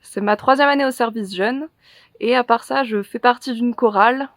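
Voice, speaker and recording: A woman in her twenties, a teacher, introducing herself in a composed, clear way. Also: a little high, moderate pace, a bit bright, no room sound, studio quality. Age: 20s. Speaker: French and Latin teacher. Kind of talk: prepared self-introduction.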